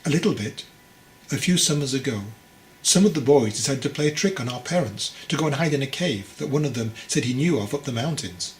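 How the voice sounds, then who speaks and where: A man's voice: medium pitch, animated, fairly quick, reverberant, slight background noise, close to an everyday voice, poor audio. Man playing an anxious, deferential young disciple. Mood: earnest. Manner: hesitant, apologetic, earnest. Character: fragile, anxious young male would-be monk, deferential. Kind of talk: storybook character dialogue.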